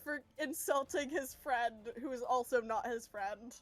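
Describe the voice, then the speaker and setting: A woman's voice: high voice, measured pace, no room sound. A woman playing a tabletop game, chatting casually and narrating what a character feels.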